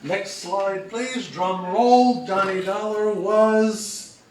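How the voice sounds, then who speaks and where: A man with a high voice delivers a slide presentation slowly and deliberately. He is picked up from a distance, with strong echo from the room around him. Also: fairly even intonation. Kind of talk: slide presentation on radio history. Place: reverberant room, live presentation. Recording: very echoey room, distant mic, faint noise.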